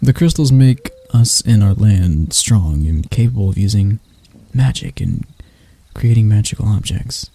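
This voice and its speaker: A man playing a proud, mocking elf royal in an ASMR roleplay, speaking in a soft, breathy, husky voice with dramatic pauses. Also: fairly low pitch, lively intonation. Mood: grave, explaining.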